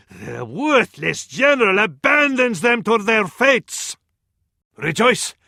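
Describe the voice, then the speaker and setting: An actor with an Arabic accent playing a fervent, scornful medieval advisor to a sultan in a video game, in a florid, declamatory style. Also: male voice, high-pitched, gravelly, sharp tone, no room sound, clean studio sound, heavily acted. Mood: scornful, grave, then triumphant. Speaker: battle advisor in a strategy game. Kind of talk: theatrical video game voice acting.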